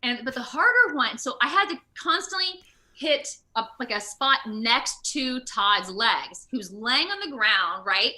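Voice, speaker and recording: A woman with a very bright, forceful voice chats casually as an interview guest. Her pitch sits a little high and moves around a lot. She is expressive and giggly, retelling things with dramatic flair. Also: measured pace. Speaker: interview guest, video game voice and motion capture actor. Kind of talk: casual animated interview chat.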